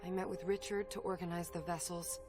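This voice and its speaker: A woman's voice: lowish voice, level tone, brisk, natural voice. An actress playing an anxious, frightened subordinate woman in a video game cutscene, nervous and deferential. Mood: anxious, defensive. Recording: music behind.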